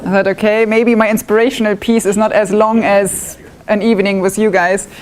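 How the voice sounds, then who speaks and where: A woman's voice: medium pitch, level tone, fairly quick, slight background noise. A woman from northwest Germany with a German accent, giving a chatty, self-deprecating talk to a woodworking club audience. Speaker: club presenter. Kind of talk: live demonstration talk. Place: club meeting room with live audience.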